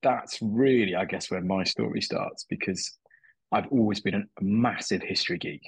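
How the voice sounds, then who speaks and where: Guest, man in his 30s from Manchester; low, husky, easygoing, self-mocking. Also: dry recording, low-quality recording. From Manchester, England. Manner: relaxed, self-deprecating, halting with fillers and restarts. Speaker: guest, documentary maker. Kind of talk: casual interview chat.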